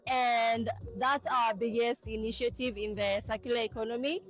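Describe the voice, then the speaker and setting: A woman with a slightly high voice explains her work calmly in a documentary interview. She speaks plainly and evenly. Faint music plays behind her. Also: low-quality recording.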